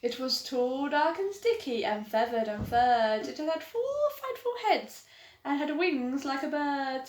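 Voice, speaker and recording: A woman reads a rhyming children's story aloud in a high, lively voice that rises and falls with every line. She takes her time over the verses, and the room adds a noticeable echo. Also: a little slow. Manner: animated, sing-song rhyming delivery. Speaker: storytime reader. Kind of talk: children's picture-book read-aloud. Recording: echoey room.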